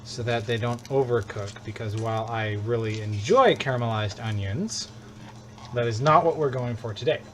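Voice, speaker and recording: A man's voice: mid-range voice, moderate pace. A man from the United States hosting a live cooking stream, talking casually and rambling with joking asides as he cooks in a home kitchen. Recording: clear kitchen sizzle and frying noise.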